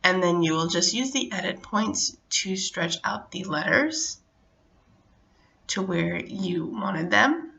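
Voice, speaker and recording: Casual craft tutorial host, middle-aged woman, lowish gravelly voice, relaxed step-by-step delivery, slightly muffled recording. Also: takes it slowly. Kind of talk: casual how-to narration.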